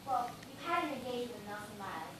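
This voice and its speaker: Stage play: actress as deferential, dutiful female secretary, high-pitched, heard through heavy echo. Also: animated, fast talker, recorded from a distance, lightly acted. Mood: careful, explaining. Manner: hesitant, deferential, polite. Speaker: stage actor. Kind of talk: live stage play acting. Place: indoor theatre stage. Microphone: distant audience camera. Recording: very noisy, echoey theatre recording from afar.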